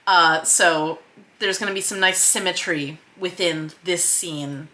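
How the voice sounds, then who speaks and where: A woman with a slightly low, bright voice hosts a casual video log about writing. She explains her craft in an animated way, speaking forcefully and dropping into read-out script lines as examples. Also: a little slow. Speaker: filmmaker and screenwriter hosting a video log.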